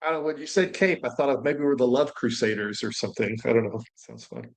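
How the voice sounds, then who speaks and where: A man's voice: mid-range voice, fast talker, gravelly. A man casually sharing his thoughts as a participant on a group video call. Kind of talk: casual chat.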